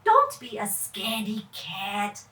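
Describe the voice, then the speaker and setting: A woman's voice: mid-range voice, huge pitch swings, slow, pushed and bright, voice pushed down, heavily acted. A woman voicing a bold, cheeky young boy in storybook dialogue, carefree and taunting. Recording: echoey room, far from mic.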